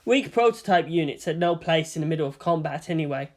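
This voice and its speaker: A male narrator reads a story aloud in an expressive audiobook style. His pitch is very lively, rising and falling widely through the prose. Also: quick pace.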